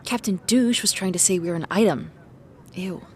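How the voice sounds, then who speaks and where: A woman playing a blunt, defensive teenage high school girl in an audio drama, easily flustered. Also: normal speed, slight background noise, close to an everyday voice.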